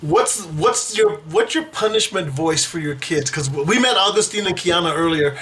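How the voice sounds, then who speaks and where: A man with a notably high voice hosts a relaxed chat, teasing his guest and laughing readily. His questions come lightly and playfully. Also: moderate pace, background noise. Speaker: talk show host. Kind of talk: casual interview chat.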